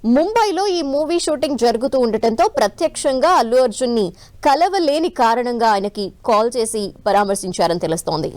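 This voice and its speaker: High-voiced woman news anchor, dry studio sound. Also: expressive, normal speed, darkish tone, no echo. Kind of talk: live news presenting.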